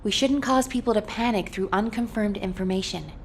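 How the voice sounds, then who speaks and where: Serious cautious officer acted by a woman, mid-range voice, frank, businesslike, measured, video game, faint ambient noise. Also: mid-range voice, lightly acted. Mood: serious, concerned.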